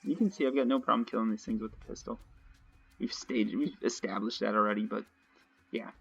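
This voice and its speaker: A young man casually commentating his gameplay nonstop, his voice a little raspy from long talking. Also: lowish voice, steady tone, fairly quick, a bit bright, faint music behind. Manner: chatty, rambling, nonstop talk with fillers. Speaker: let's play host. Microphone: home desk mic. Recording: dull lo-fi home mic.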